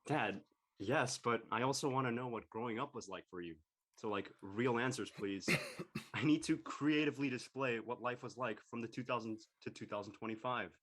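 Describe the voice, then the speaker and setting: A man's voice: medium pitch, moderate pace, a bit bright, natural voice. A man playing an exasperated, snarky teenage son in an audio drama. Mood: earnest.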